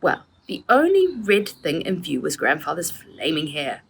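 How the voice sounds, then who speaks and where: A woman's voice: low voice, wildly swooping pitch, a little slow, vocal fry, a little hiss or hum, full character voice. A woman reading the part of a storybook father who recounts a childhood adventure in a wry, dramatic way. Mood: wry, unhurried. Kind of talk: storybook character narration.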